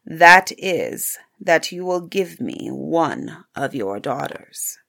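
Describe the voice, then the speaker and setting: A woman's voice: sits low, big pitch swings, slow pace, creaky, sharp tone, full character voice. A woman voicing a frightful, angry male beast in a storybook, stern and commanding in manner. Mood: grim, commanding. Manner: stern, menacing, grim. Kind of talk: storybook character voice.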